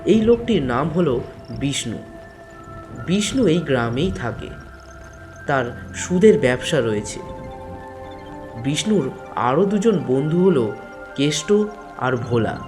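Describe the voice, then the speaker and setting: A man's voice: on the high side, very animated, takes it slowly, long pauses. A man reading a scripted village story as its narrator, in storytelling style. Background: background music.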